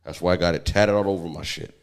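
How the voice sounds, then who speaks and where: Man, puerto rican, lowish voice, blunt and profane, podcast co-host. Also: fairly even intonation, quick pace. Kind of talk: casual podcast chat.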